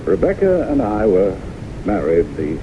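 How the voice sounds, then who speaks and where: An actor playing an ardent, stubbornly hopeful doctor in love, persuasive and theatrical, in an old-time radio drama. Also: male voice, on the low side, wildly swooping pitch, a little slow. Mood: contented. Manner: earnest, theatrical delivery. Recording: muffled, noisy old radio broadcast tape.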